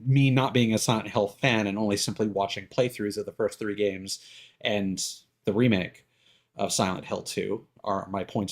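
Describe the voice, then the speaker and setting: Low-pitched man rambling on a livestream. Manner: halting, circling back and repeating words. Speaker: live streamer. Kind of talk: casual opinionated rambling on a livestream.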